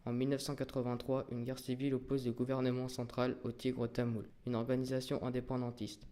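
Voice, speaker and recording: Flat, mellow male documentary narrator. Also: medium pitch, a little fast. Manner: factual, read aloud.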